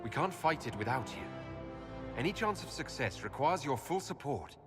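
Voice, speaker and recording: A man playing an earnest, diplomatic hero in a video game, reasoning plainly with measured words. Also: medium pitch, big pitch swings, close to an everyday voice. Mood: earnest, resolute. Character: earnest, diplomatic adult male fantasy hero. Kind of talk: video game cutscene acting. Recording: hall reverb with music behind.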